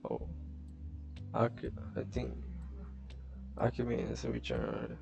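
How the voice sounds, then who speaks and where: A man from Bangladesh giving a casual, halting read-along commentary, loosely paraphrasing a comic as he streams. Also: rumbling low, very animated, gravelly, music in the background. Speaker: streamer reading manga aloud.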